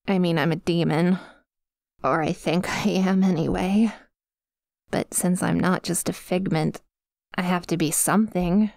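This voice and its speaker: A woman playing a possessive but vulnerable female sleep paralysis demon in an ASMR roleplay, speaking softly and hesitantly, close to the mic. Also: on the low side, creaky, no echo. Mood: uncertain, tender. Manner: hesitant, halting, tentative, confiding. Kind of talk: ASMR roleplay, intimate monologue addressed to a sleeper. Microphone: close microphone, dry.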